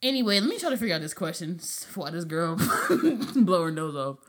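A woman's voice: fairly low pitch, sing-song, a little fast. A young woman hosting a podcast, talking in blunt, profane, laughing banter. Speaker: podcast host. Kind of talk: casual chat.

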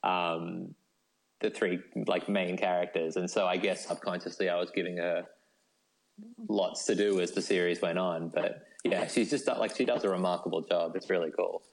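A man's voice: low voice, fairly even intonation, normal speed, poor audio. A man chatting casually as an interview guest, thinking aloud and padding his sentences with "like" and "you know". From Australia. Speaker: guest, novelist.

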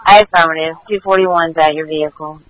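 A man working as a police dispatcher, speaking in brisk, formulaic radio procedure with a businesslike manner. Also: on the high side, steady tone, a bit bright, poor audio.

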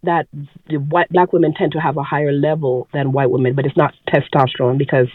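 A woman with a deep voice, earnestly correcting others in a casual podcast chat. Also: level tone, a little fast, no echo, narrow, tinny audio.

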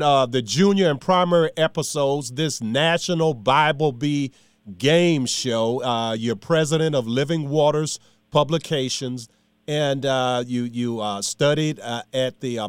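Man, talk radio host, excitable, lots of fillers, dry studio sound. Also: mid-range voice, clean tone, dry recording. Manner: excitable, laughs a lot, many fillers.

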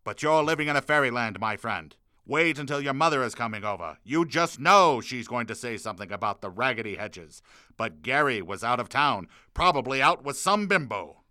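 A man's voice: medium pitch, lively intonation, moderate pace, bright, forceful tone, dry recording, clean studio sound. A man playing a pompous middle-aged wartime president with petty grievances, in a parody of a 1940s radio address. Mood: aggrieved, petty. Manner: formal broadcast oratory sliding into grumbling.

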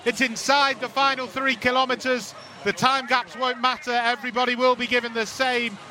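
A male race commentator with a high, bright voice, calling a cycling race live with energy. Faint outdoor street noise sits under his voice. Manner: energetic, calls the action as it happens.

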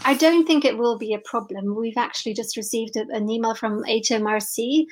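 A woman's voice: fairly high pitch, brisk. Hesitant Belgian woman publisher on a call. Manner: hesitant, many restarts, laughs often. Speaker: children's book publisher. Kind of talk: remote interview. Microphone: video call.

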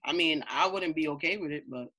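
Bright, easygoing voice in its late twenties. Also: mid-range voice, a little fast. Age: late 20s. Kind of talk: casual podcast chat.

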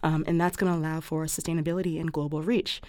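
A woman with a mellow, slightly low voice, recorded close and dry. She explains technical matters conversationally, choosing formal words in an articulate, measured way. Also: little pitch movement, no echo. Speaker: physician interview guest.

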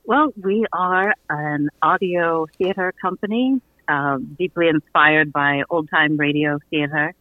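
On a thin, phone-like connection, a man talks as a theater company guest in a radio interview. His voice is on the higher side for a man, and his delivery is conversational. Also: unhurried, no room sound. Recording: thin, narrow phone-like line.

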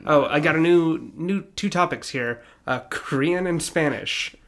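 A man's voice: fairly high pitch, unhurried, fairly bright tone. A young man co-hosting a podcast, riffing comically in an irreverent, sweary, casual way. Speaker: podcast co-host.

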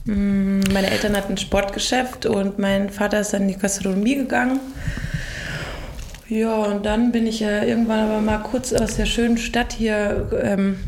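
A woman's voice: mid-range voice, darkish tone. A woman from Bavaria, Germany, chatting playfully as a guest in a casual podcast interview recorded in an office. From Germany, Bavaria (Ingolstadt). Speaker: podcast guest, managing director. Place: office room. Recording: roomy echo, clear background noise.

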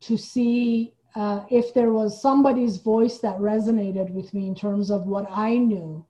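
A woman's voice: medium pitch, fairly even intonation, measured pace, dark tone, poor audio. A woman from Iran speaking as an interview guest in a thoughtful, conversational way. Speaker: guest, playwright and theater artistic director.

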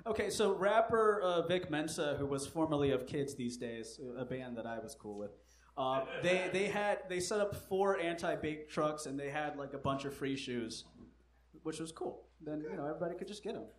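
A man's voice: fairly high pitch, lively intonation, normal speed. A man hosting a live comedy quiz, joking, swearing and laughing often. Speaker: comedy show host. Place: live comedy venue with audience. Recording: echoey live room, faint noise.

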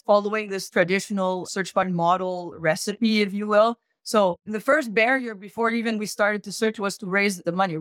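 A woman in her thirties from Quebec, a guest recounting her business journey in lively conversation. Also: no room sound. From Canada (Québec). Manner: animated, rises in pitch when emphasizing. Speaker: guest, search fund entrepreneur. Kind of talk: conversational interview.

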